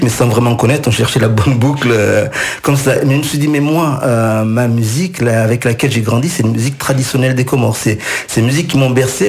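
A gravelly-voiced middle-aged man talks as a musician on a radio interview. His is Swahili, and he wanders through his memories with frequent restarts and fillers. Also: medium pitch. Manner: rambling, many restarts and fillers. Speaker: musician guest.

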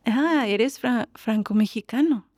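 Lady radio host, kinda high voice, dry studio sound, coaxing a kid along slow. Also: a little mellow, no echo. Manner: patient, coaxing, prompts a child step by step. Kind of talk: community radio presenting and interviewing.

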